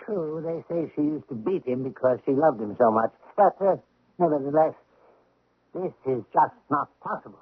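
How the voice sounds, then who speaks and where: An actor playing a pompous older examining magistrate in a 1970s radio drama, blustering, doubtful and hesitant. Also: male voice, a little high, moderate pace, breathy edge, dry recording. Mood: doubting, grumbling. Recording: dull, lo-fi 1970s radio recording.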